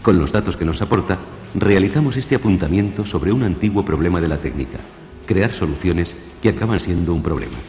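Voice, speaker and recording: A man with an accent from Spain reading scripted documentary narration for radio in a formal, measured way. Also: low voice, lively intonation, soft background music. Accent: Spanish, from Spain. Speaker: radio programme presenter. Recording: dull radio playback in echoey hall.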